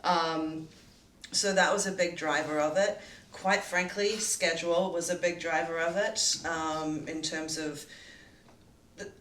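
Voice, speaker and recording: A woman co-producer in casual behind-the-scenes talk, speaking in a measured, orderly way with frequent "um"s. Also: deep voice, fairly even intonation, fairly bright tone, a little hiss or hum. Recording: echoey room, distant mic.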